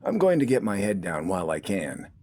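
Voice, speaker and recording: A man's voice: deep voice, very animated, brisk, dark tone, slight background noise. A man voicing a mild, dutiful young militiaman with a friendly, diffident manner. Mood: awkward, friendly.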